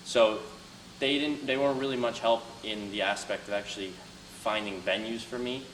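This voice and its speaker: A man's voice: lowish voice, fairly even intonation, normal speed. A young man speaking to students as a guest speaker, earnest and motivational, answering questions. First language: Albanian. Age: young adult. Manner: earnest, motivational, loud at the start. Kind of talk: talk to students with Q&A. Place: classroom. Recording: roomy, from a distance, faint noise.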